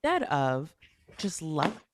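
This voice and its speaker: A woman speaking as a podcast guest, talking in an earnest, measured way from conviction. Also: sits low, sing-song. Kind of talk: conversational podcast interview.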